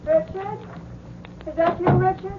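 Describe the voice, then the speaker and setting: A woman's voice: very high voice, steady tone, measured pace, music in the background. A woman playing a demanding, querulous wife, imperious and complaining, in 1940s radio drama style. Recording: dull, faintly noisy old radio recording.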